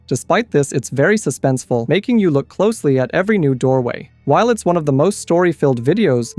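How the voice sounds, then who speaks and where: A man's voice: mid-range voice, darkish tone, no echo. A man reading scripted explainer commentary as the host of a video essay. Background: background music.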